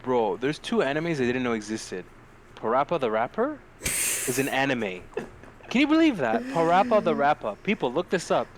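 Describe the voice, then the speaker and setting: A man's voice: medium pitch, sing-song, normal speed. Man bantering casually over noticeable background noise. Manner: profane, joking. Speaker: podcast co-host. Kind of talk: casual banter about films and games.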